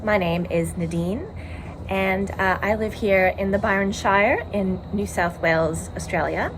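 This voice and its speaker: A woman in her forties from Montreal with a Canadian accent, hosting a casual knitting podcast from home and rambling warmly with many ums and uhs. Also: medium pitch, measured pace, background noise. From Montreal, Quebec, Canada. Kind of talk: casual chat. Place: home in a tiny house.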